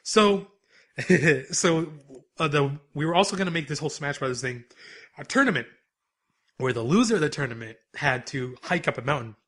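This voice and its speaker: Young Canadian male podcast co-host, bright tone, easygoing and rambling. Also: on the high side, lively intonation, normal speed. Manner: laid-back, rambling, laughs often. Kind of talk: casual podcast chat.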